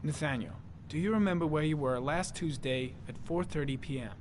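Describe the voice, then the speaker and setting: An actor playing a level-headed, protective police investigator in a video game, firm and coaxing. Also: male voice, fairly high pitch, fairly quick, darkish tone, a little hiss or hum, natural voice. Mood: probing. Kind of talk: video game drama acting.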